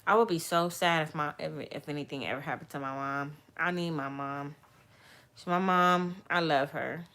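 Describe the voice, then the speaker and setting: A woman vlogger chats with her viewers in a loose, rambling way. Her voice sits a little low for a woman, and her candid talk often turns soft and heartfelt. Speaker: vlogger answering viewer questions. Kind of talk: casual livestream chat.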